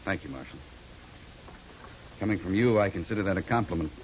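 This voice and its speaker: Low-voiced, dignified government official. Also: male voice, animated, fairly quick. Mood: humbled, dignified. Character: dignified, humbled government official from Washington, a man. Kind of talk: 1950s radio drama acting. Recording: dull, faintly noisy vintage radio recording.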